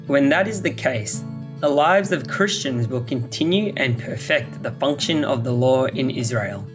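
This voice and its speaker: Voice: medium pitch, lively intonation, a little slow. An announcer reading a formal scripted introduction to the episode. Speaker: podcast announcer. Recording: spoken over guitar music. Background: plucked guitar music.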